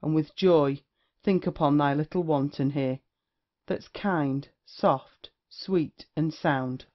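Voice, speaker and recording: A performer playing a witty, lewd, cynical gentleman rake in an audio play of a period comedy. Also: medium pitch, slow pace, lightly acted. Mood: wry, lewd. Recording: dull, lo-fi audio.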